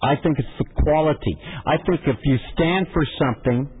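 A male radio host with a husky, mid-pitched voice answers a caller. He speaks firmly and hammers points home by repeating them. The sound is narrow and lo-fi, with a long reverb behind it. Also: fairly quick. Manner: firm, repeats phrases for emphasis. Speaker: radio talk show host. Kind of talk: call-in radio talk. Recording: muffled phone-band audio with long reverb.

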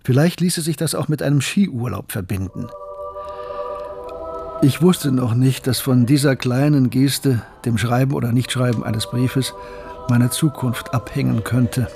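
A man acting as a reflective student who recalls his life in a measured, literary radio drama narration. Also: medium pitch, gravelly. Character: Gustav, reflective male mathematics student recalling his past. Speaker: narrator and protagonist. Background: music.

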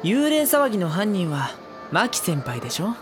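A woman playing an earnest, easily flustered high school boy in an audio drama. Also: very animated, normal speed, over music, natural voice. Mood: firm, accusing. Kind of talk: Japanese audio drama acting.

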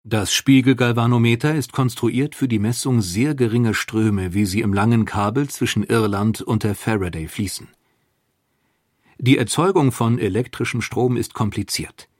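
Man, medium pitch, scripted documentary narration, explanatory, measured, dry acoustic. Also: a little mellow, no echo.

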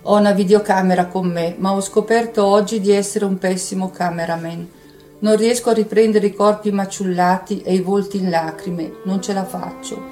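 Woman reading, soft music behind. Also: medium pitch, steady tone, normal speed. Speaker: reader. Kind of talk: literary reading of a war diary.

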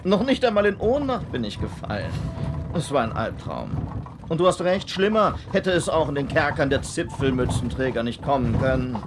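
A man's voice: medium pitch, sing-song, moderate pace, background noise, natural voice. An actor playing a bitter dead man looking back on his failed suicide in a radio play. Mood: bitter, weary. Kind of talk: German radio play dialogue.

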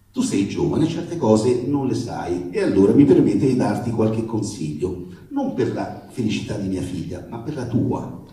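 A man playing an anxious, protective, foul-mouthed father in a comic monologue, halting and colloquial but emphatic. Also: fairly low pitch, warm tone. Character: anxious, protective, foul-mouthed father addressing his daughter's young boyfriend. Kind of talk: theatrical reading of a comic monologue letter. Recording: echoey room, distant microphone.

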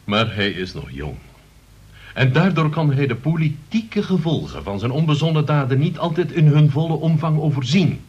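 Pompous, husky, lecturing uncle. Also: male voice, a little high, sing-song, moderate pace, darkish tone, dull audio. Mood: self-important. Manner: pompous, long-winded, lecturing. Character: pompous middle-aged uncle, moralizing small-town political idealist. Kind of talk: Dutch radio play acting.

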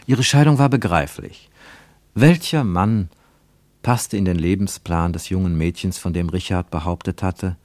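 A man reading scripted literary narration for radio, with measured, rhetorical and emphatic phrasing. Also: on the low side, a little mellow, no echo.

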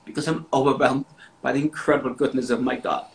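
A man's voice: mid-range voice, steady tone, fast talker, a little hiss or hum, rough recording. Emphatic man preaching. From Austria. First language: German. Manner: emphatic, repeats words for stress. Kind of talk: devotional sermon with interpreter.

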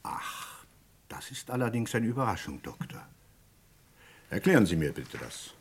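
An actor playing a courteous but probing chief inspector in a German crime radio play, formal and insistently polite. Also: male voice, fairly low pitch, sing-song, moderate pace, breathy edge, exaggerated voice.

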